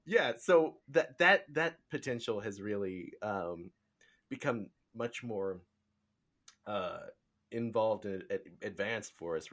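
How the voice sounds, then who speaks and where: Guy hosting a podcast, lowish voice, halting and rambly, casual interview talk. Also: fairly bright tone. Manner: halting, rambling, searching for words. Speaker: podcast host.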